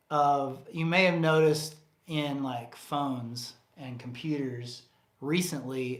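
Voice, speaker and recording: A man co-hosting a casual livestream Q&A, rambling and chatty with lots of fillers and restarts, his voice getting tired from talking. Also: mid-range voice. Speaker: co-host of a livestream Q&A. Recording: roomy echo.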